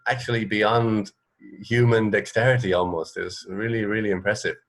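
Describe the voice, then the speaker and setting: A man with a lowish voice co-hosts an informal radio show. He speaks conversationally and a bit haltingly, working out his thoughts aloud. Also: steady tone, a bit bright. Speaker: radio co-host. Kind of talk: casual radio chat.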